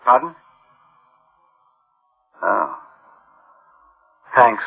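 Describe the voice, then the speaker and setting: An actor playing an uneasy, conscience-stricken boss in a radio drama, grave and halting as he broods. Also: lowish voice, very animated, creaky, slightly rough, phone-quality sound, faint music behind, full character voice. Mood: anxious, brooding. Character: uneasy, conscience-stricken male boss dreading his machines. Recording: band-limited old radio drama sound.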